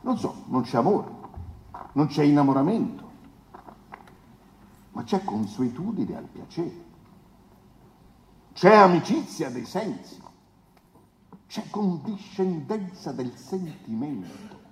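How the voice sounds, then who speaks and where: A smug defence lawyer in a stage courtroom drama. His pompous, insinuating oratory swings widely between hushed asides and emphatic swells. The voice rings with long reverb in a hall, over some background noise. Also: fairly high pitch, big pitch swings, takes it slowly, long pauses. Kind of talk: theatrical courtroom oration, stage adaptation of a trial.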